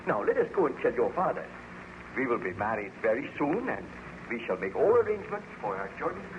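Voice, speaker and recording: A man's voice: mid-range voice, sing-song, brisk, natural voice. Male actor, haunted aristocratic scientist for vintage radio drama; fervent, formal, widely swinging delivery on muffled, noisy recording. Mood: ardent, tender. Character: anguished baron scientist, married man haunted by his monstrous creation. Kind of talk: 1930s radio drama acting.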